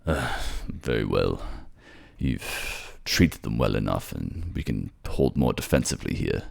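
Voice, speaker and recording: Man acting a very deep, gravelly brooding king. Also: very animated, exaggerated voice. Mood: grudging. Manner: curt, brooding, halting. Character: cold, cruel, jealous king, proud and brooding. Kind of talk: fantasy audio drama acting.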